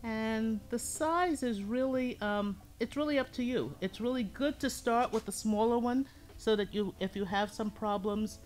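A middle-aged woman with a low voice teaching a hands-on pottery lesson in a studio, explaining each step calmly as she works. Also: moderate pace. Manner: calm, explains step by step while working with hands. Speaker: ceramics teacher.

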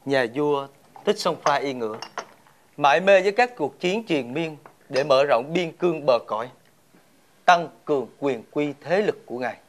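A man's voice: on the high side, expressive, close to an everyday voice. Man playing a solemn, dignified returning soldier. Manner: formal, solemn, measured. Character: devoted, dignified returning soldier and royal attendant. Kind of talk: formal period fairy-tale drama acting. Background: background music.

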